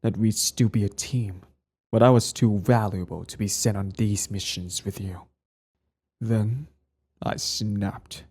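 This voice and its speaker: A man playing a cold, sardonic villain with secret tenderness in an intimate ASMR roleplay, his voice low, husky and breathy. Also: fairly even intonation, darkish tone. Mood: intense, protective. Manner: slow deliberate delivery, sardonic, condescendingly affectionate. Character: cold, mocking male villain, protective ex-hero, secretly tender.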